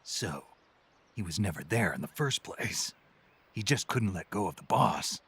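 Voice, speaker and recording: A gruff male special-ops soldier in a game cutscene. His voice sits very low yet has a bright, forceful edge. He speaks in short, clipped, murmured lines. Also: expressive, a little slow, full character voice. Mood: terse. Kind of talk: video game cutscene acting.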